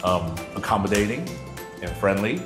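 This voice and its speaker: Playful low-voiced man, echoey room. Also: expressive, normal speed. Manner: playful, laughs often. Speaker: interpreter and co-host. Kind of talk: casual interview. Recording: echoey room with music behind.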